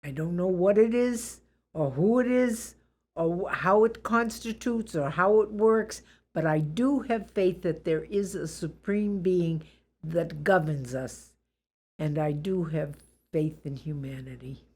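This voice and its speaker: An elderly woman with a Boston accent, reflecting on her life in an interview in a deliberate, plain-spoken, good-humored way. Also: fairly low pitch, darkish tone. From Boston area, USA.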